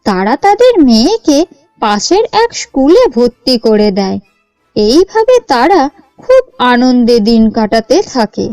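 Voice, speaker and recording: Female narrator for a cartoon tale, unhurried and swooping in pitch, measured expressive delivery, dry sound. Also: no room sound, soft background music. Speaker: storyteller. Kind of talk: scripted story narration for a cartoon.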